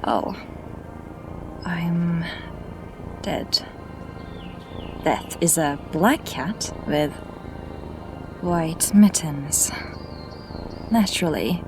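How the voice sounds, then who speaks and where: A wry young mage heroine, voiced by a woman in a fantasy audio drama. She speaks slowly and deliberately, her nerves showing through a dry, self-mocking humor. Soft music plays faintly behind her. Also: fairly low pitch. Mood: stunned.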